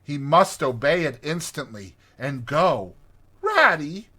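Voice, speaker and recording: A man narrating a classic storybook in an expressive, theatrical way. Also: medium pitch, measured pace, husky. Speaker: audiobook narrator.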